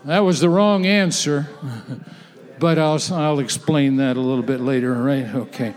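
A man preaching a sermon to a gathered audience, emphatic and repetitive, with halting restarts. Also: medium pitch, fairly even intonation, darkish tone. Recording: long hall reverb, voice off-mic.

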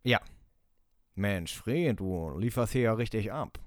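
Animated man quizzing his co-host. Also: fairly low pitch, takes it slowly, no echo, clean studio sound. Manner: lively, laughing, reads quotes aloud. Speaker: podcast co-host running a quiz. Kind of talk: casual podcast chat.

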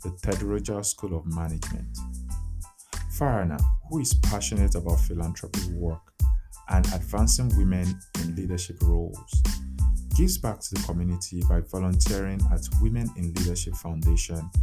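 A man with a very deep voice hosting a podcast interview. He reads a polished introduction and then moves into relaxed, conversational questions. Loud music plays behind him. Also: moderate pace. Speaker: podcast host.

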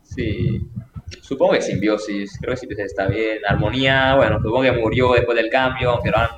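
A man's voice: mid-range voice, steady tone, fairly quick, noisy background. A Colombian man with an accent drifting toward Spain and Argentina, chatting as a guest on a podcast. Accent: mixed Colombian and Spanish-Argentine. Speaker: guest player. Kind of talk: casual podcast chat. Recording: roomy, slightly dull sound.

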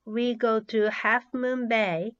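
Very slow-paced woman teaching English drills. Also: a little high, fairly even intonation. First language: Japanese. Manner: deliberate, measured enunciation for learners. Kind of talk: language drill, reading words and sentences twice. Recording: slightly dull, lo-fi audio.

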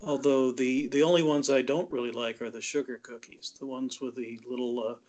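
Measured man chatting with laughs. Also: medium pitch, fairly even intonation, a little mellow. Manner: measured, laughs easily, many uh fillers. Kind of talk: casual chat.

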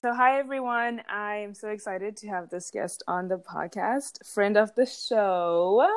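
A woman's voice: mid-range voice, a little slow, faint music behind. A young woman from Zimbabwe hosting a podcast in casual chat, chatty and laughing often as she acts out what people said. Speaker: podcast host.

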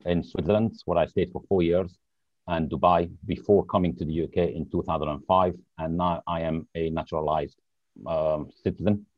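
Deep-voiced Lebanese-born man, dull remote audio. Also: steady tone, moderate pace, no echo. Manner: searches for words, hesitant. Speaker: podcast guest. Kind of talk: casual interview chat.

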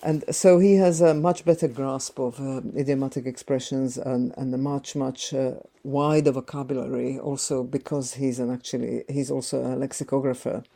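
Interview guest: woman, 60s, native Slovak speaker, mellow timbre, unhurried and reflective. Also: rumbling low, steady tone, creaky. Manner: thoughtful, with frequent pauses and filler "uh"s. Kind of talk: conversational interview answers.

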